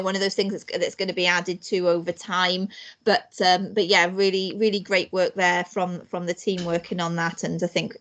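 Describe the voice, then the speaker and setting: A woman council cabinet member giving a hesitant, filler-heavy update in an online meeting. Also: mid-range voice. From Wales. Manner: hesitant, many restarts and fillers. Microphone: video call.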